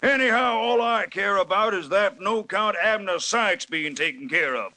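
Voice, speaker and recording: Crooked hick town boss, sharp high voice, country american drawl, bossy and blustery, old radio show. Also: male voice, moderate pace. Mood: smug. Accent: rural American. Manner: blustering, folksy, commanding drawl. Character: ruthless folksy small-town boss, older demagogue villain. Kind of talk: 1940s radio serial acting.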